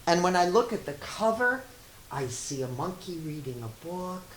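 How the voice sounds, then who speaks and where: A woman teacher reading a picture book to children, with chatty, expressive asides and questions. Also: lowish voice, normal speed. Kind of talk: animated read-aloud with chatty asides. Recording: roomy echo with faint hiss.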